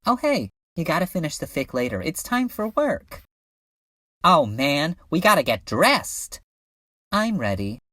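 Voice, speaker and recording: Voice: medium pitch, big pitch swings, a little slow, sharp tone, no room sound, clean studio sound, heavily acted. A woman voicing a laid-back cartoon cat creature in a comedic dub, with a casual, slangy manner. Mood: casual, playful.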